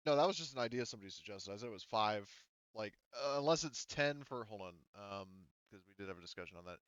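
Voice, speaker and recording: A man's voice: on the low side, expressive, fast talker, a bit bright, smooth, dry recording, dull audio. A man casually talking through a tabletop game as its game master, with informal, sweary phrasing.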